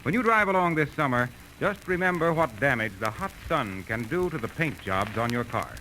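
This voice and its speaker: A man's voice: medium pitch, expressive, moderate pace, pushed and bright. A man speaking as an announcer in old-time radio style. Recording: noisy vintage radio recording.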